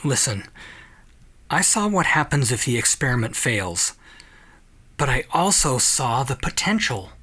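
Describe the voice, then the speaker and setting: A man's voice: medium pitch, sing-song, unhurried, long pauses, bright, forceful tone, breathy edge, exaggerated voice. A man playing an obsessive, defiant scientist in an audio drama, speaking with agitated insistence. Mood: urgent persuasion. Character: obsessive, defiant male scientist, feverish and stubborn.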